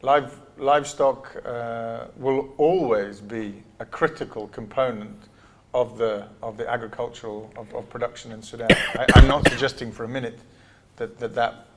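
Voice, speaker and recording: A man, a food aid country director, answering press questions in a relaxed, hesitant way. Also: medium pitch, normal speed.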